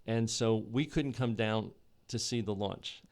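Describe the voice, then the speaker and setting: A middle-aged man from New Jersey, talking in a casual interview in a measured way with many fillers and restarts. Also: fairly low pitch, a little mellow. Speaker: podcast guest, economic development board chair.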